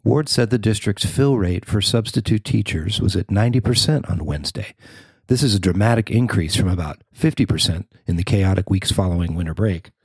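A man's voice: fairly low pitch, animated, fairly quick, breathy edge, dry recording. An American man reading a scripted news story as a podcast host and reporter.

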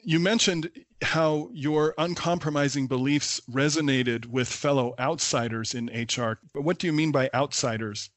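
A man's voice: fairly high pitch, normal speed, dry recording. A man hosting a podcast interview, speaking in a friendly, affirming way and summing up his guest's points. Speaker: podcast host.

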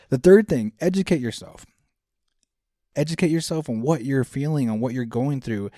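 A man's voice: medium pitch, mellow, no room sound, clean studio sound. A man in his thirties hosting a self-help podcast, speaking earnestly and directly to his listeners, often repeating his points for emphasis. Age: 30s. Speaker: podcast host. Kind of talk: motivational self-help talk.

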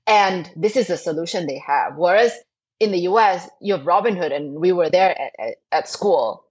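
A woman from Thailand in her thirties, a startup co-founder who explains things in an animated, emphatic way on an interview podcast. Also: on the low side, normal speed, slightly rough, lo-fi sound. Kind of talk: interview answers.

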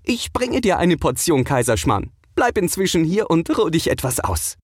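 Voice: mid-range voice, very animated, moderate pace, slightly rough, dry recording, studio quality, voice pushed up. A narrator voicing a helpful, unfazed young girl who speaks reassuringly in a children's audio story. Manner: reassuring, ready to help.

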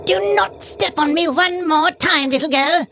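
A woman voicing talking household objects in a high, sing-song storybook voice. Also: a little slow, fairly bright tone, soft background music, full character voice. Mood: menacing. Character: talking smart speaker and grumpy rug.

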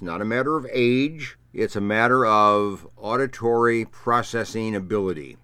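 Low-voiced man explaining with steady emphasis. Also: no room sound. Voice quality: stutters at times. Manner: measured, emphatic repetition. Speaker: speech therapist. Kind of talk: explanatory talk to camera.

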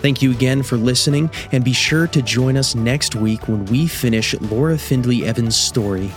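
A man's voice: medium pitch, moderate pace, over music. A man reading scripted story narration as the narrator of an audio drama.

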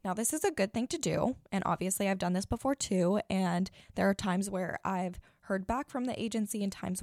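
A young woman in her twenties with a raspy morning voice, giving blunt, chatty advice as a podcast host. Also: mid-range voice, darkish tone, dry recording, clean studio sound. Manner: blunt, chatty, many fillers. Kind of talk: casual advice chat.

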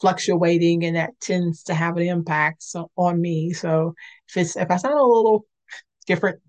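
Slightly raspy, earnest woman podcast host. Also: on the low side, fairly even intonation, normal speed, a little mellow. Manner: earnest and conversational. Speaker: podcast host and licensed clinician. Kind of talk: faith-based podcast talk.

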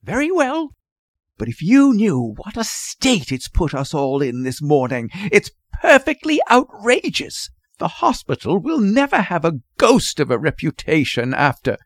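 Male narrator as storybook nurse, female, high pitch, flustered, scornful, highly animated. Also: a little fast, few pauses, no echo, studio quality. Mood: flustered contempt. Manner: hysterical, stammering, severe.

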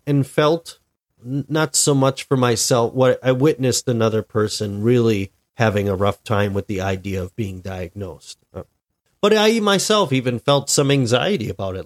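A married man hosting a solo podcast, talking in a slow, reflective way with many restarts. He sounds a little stuffy and tired from being ill. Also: medium pitch. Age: adult, married. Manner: deliberate, reflective, with frequent restarts. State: stuffy, sick with a cold-like illness. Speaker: podcast host.

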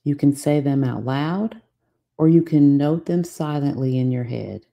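Very low, slow woman guiding meditation. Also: gravelly, muffled recording. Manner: soothing, instructional, unhurried with long pauses. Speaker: meditation guide. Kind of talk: guided meditation, scripted narration.

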